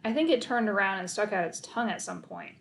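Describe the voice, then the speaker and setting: A woman narrating a children's story aloud in an expressive read-aloud style. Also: medium pitch, fast, gravelly.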